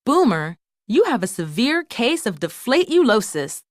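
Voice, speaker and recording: A woman's voice: fairly high pitch, very animated, moderate pace, no echo, studio quality, voice pushed up. Woman as a reassuring young girl toy doctor. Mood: serious. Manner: explanatory, reassuring.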